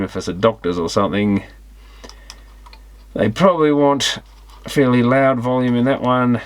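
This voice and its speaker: A man giving casual, unscripted teardown commentary on electronics, musing and thinking aloud as he examines things. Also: fairly low pitch. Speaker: electronics repair hobbyist presenting a teardown.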